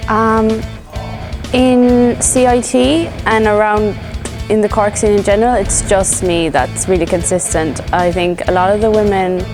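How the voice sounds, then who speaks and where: A woman's voice: mid-range voice, steady tone, measured pace, over music. A nineteen-year-old woman, chatting casually as she answers interview questions. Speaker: comedian being interviewed. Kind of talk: casual chat.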